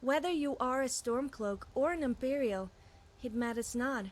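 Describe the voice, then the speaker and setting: An actress playing a proud wandering bard in a fantasy video game, speaking in a lofty, declamatory way. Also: female voice, sits high, moderate pace. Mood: proud, composed.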